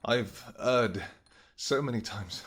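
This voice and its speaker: A man playing a tender, protective vampire king in a roleplay audio drama, speaking formally and earnestly, with halting phrases. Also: medium pitch, fairly bright tone, husky. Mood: tender, remorseful.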